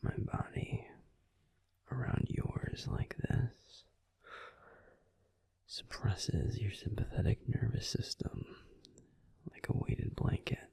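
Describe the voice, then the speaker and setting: A man's voice: medium pitch, slow, long pauses, no echo, heavily acted. A man voicing a grumpy but tender male werewolf boyfriend in a whispered bedtime audio roleplay, fussing protectively and then soothing. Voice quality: gruff and soft whispering.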